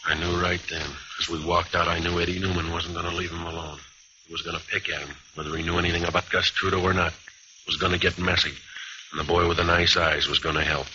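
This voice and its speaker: An actor playing a world-weary American private eye, laconic and dry, in first-person radio noir. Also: male voice, low voice, little pitch movement, quick pace, lightly acted. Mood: weary narration. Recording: muffled, noisy old radio recording.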